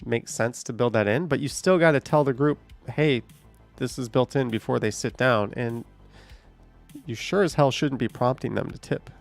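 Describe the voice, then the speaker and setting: A man's voice: mid-range voice, normal speed, vocal fry, soft background music. A man hosting a live stream, chatting casually, thinking aloud and swearing now and then.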